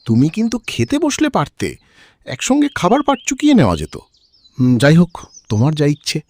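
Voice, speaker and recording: A man's voice: on the high side, huge pitch swings, a little fast, darkish tone. An actor playing a shy, kindly young village bachelor in a cartoon, hesitant when flustered, with music under his voice. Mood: musing. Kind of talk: cartoon dialogue acting.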